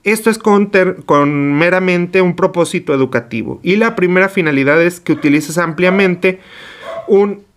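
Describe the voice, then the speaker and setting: A man's voice: high-pitched, normal speed. Man explaining a lesson. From Mexico. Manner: asks himself questions and answers them, with small self-corrections. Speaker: teacher trainer. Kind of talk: explanatory online lecture.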